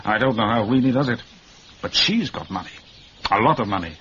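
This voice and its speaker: An actor playing a brisk, wry English police detective in a period radio drama, talking in a blunt, colloquial way. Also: male voice, medium pitch, big pitch swings, pushed and bright. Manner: colloquial, blunt, matter-of-fact. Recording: muffled radio recording, clear background noise.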